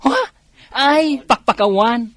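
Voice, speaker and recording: A woman's voice: fairly high pitch, slow pace, a bit bright. A woman playing a blunt, sassy village beauty parlor owner in a comedy radio drama, with an Ilocano accent. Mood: sassy scolding.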